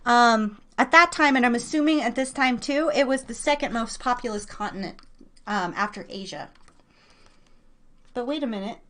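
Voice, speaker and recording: A woman with a high-pitched voice runs a casual live quiz stream. She is playful and excitable, chatting to her listeners and stretching words for emphasis as she goes. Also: normal speed. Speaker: live trivia game host.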